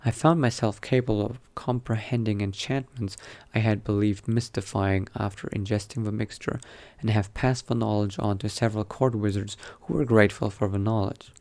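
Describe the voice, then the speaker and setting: Male roleplay gamer, fairly low pitch, measured bookish read with muttered in-character asides. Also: fairly even intonation, darkish tone. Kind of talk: in-character game roleplay, reading aloud and musing.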